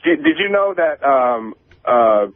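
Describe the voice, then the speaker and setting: A male caller on a thin, dry phone line, mid-range in pitch. He has been drinking and chats in a scattered, halting ramble, very casual and loose. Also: lively intonation, dry recording. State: drinking vodka. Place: phone call from a parking lot.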